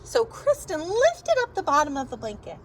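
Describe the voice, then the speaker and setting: A woman with a high voice reads a children's picture book aloud. Her delivery swings widely up and down, with stretched words and playful sound effects, and she stops to put questions to her young listeners. There is some noise behind her. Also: huge pitch swings. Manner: pauses to ask listeners questions. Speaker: teacher reading aloud to children.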